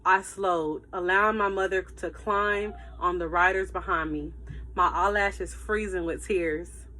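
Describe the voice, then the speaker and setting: Bright, expressive woman reading to children. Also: on the low side, measured pace, slight background noise. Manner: expressive, emphatic storytelling. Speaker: teacher reading aloud to pupils. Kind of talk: animated children's read-aloud with asides to listeners.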